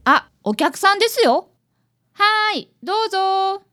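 A woman's voice: very high-pitched, expressive, measured pace, bright, forceful tone, soft background music, heavily acted. A woman voicing an eager, polite fifth-grade boy apprentice detective in a radio drama. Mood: cheeky. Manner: earnest, polite boyish speech.

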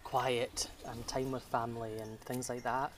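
A man's voice: mid-range voice, little pitch movement, measured pace. A Scottish man in his thirties talks casually while gardening and laughs easily. He sits a little far from the mic, with a faint hiss of outdoor ambience behind him. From Scotland. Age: 30s. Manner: chatty, laughs often, trailing fillers. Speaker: podcast guest.

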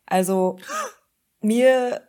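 A woman co-hosting a casual podcast, relaxed and low on energy. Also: extremely slow. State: feeling cold. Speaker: podcast co-host.

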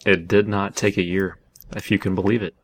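A man hosting a casual podcast in a halting, self-deprecating way. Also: sits low, level tone. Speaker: podcast host.

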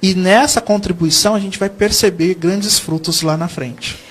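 A man's voice: high voice, fairly even intonation, normal speed, fairly bright tone, breathy edge. A Brazilian man from São Paulo speaking as a guest educator in a TV interview, explaining earnestly and often posing questions he then answers. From São Paulo state, Brazil.

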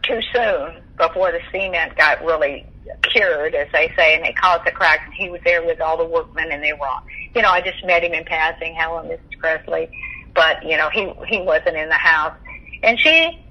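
A woman's voice: low-pitched, normal speed, pushed and bright, husky, rough recording. An older woman, reminiscing in a talkative, rambling, conversational way over the telephone. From Texas, United States. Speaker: interview guest sharing memories.

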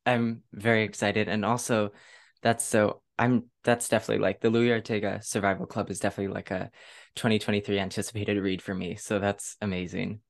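Very low-voiced, chatty male podcast host. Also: level tone, fairly quick, gravelly. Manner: enthusiastic, gushing. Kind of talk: casual chatty interview.

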